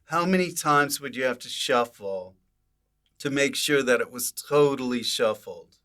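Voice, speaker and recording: A man's voice: mid-range voice, animated, a little slow, a bit bright. A man hosting a casual comedy podcast, rambling and joking, given to exaggerated outbursts. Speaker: podcast host.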